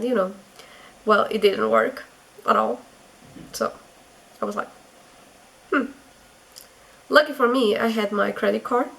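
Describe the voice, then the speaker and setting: A woman's voice: a little high, gravelly, rough recording. A young woman from Portugal with a Portuguese accent, giving chatty advice in a casual vlog, full of fillers. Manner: chatty, many fillers and restarts. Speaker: vlogger.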